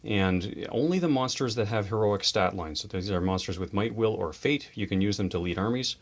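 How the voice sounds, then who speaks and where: Deep-voiced man explaining game rules. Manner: chatty, enthusiastic, quick asides. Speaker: host of a tabletop wargame channel. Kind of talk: casual explanatory chat about game models and rules.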